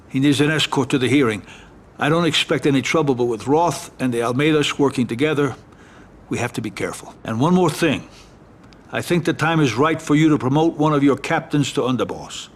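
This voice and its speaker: An actor playing a composed mob lawyer giving calm, businesslike instructions in a game cutscene. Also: male voice, medium pitch, fairly quick, natural voice. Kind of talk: video game cutscene acting.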